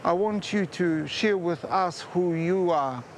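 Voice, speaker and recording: An older man with a Māori New Zealand English accent speaks slowly and ceremonially at a gathering, in a high voice. Clear outdoor noise sits behind his words. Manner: measured, ceremonial, mixes in Māori phrases.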